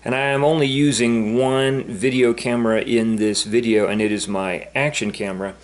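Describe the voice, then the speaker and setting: A man talking casually and unscripted as a vlogger demonstrating something, in a halting, repetitive, rambling way. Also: mid-range voice, steady tone. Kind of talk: casual unscripted explanation to camera.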